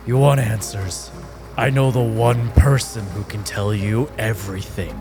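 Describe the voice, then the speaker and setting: A man's voice: on the low side, measured pace, music in the background, lightly acted. A man voicing a bitter dying spirit, speaking in a brooding, profane way. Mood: wry, weary.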